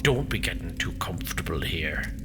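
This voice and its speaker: An actor playing an ancient warrior in a fantasy audio drama, stern, weary and condescending to the young, with deliberate archaic phrasing. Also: male voice, low-pitched, expressive, loud music behind the voice. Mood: weary reproach.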